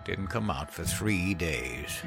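A man's voice: on the low side, lively intonation, a little slow. An actor playing a haunted older countryman who tells a grim memory in a blunt, folksy, profane way, in dramatized audio fiction. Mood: bleak, remorseful. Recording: music behind the voice.

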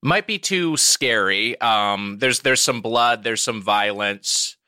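A man's voice: on the low side, takes it slowly, pushed and bright, no echo, studio quality. A man guesting on a podcast, rambling and self-deprecating, with a slightly froggy throat and lots of hesitant fillers. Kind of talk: casual podcast chat.

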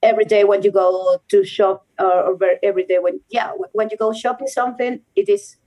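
Young Venezuelan woman, Spanish native speaker; relaxed interview chat, giggly, searches for words. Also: mid-range voice, brisk, rough recording. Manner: laughs often, restarts sentences in careful non-native English. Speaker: guest, rock climber.

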